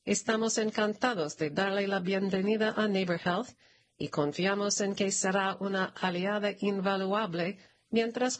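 A woman narrator reads a prepared announcement at a slow, deliberate pace. Her pitch sits in a middle range for a female voice, and her delivery is formal and measured throughout. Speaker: narrator reading a press release. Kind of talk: scripted read-aloud news announcement.

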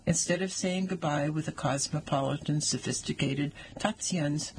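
A man's voice: on the high side, normal speed, slight background noise, low-quality recording. A man in his sixties reading a personal essay aloud with wry, dry humor.

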